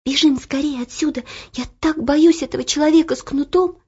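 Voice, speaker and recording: A timid, frightened young girl, as a woman puts her on, speaking in soft, nervous half-whispers. The voice is breathy, and the recording sounds dull and lo-fi. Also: on the high side, lively intonation, slow, pitched above the performer's own voice, heavily acted.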